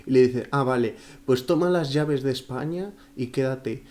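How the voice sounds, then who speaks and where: A man's voice: medium pitch, moderate pace, dark tone. A man with a Spanish accent from Spain, co-hosting a film podcast, talking emphatically in a casual chat.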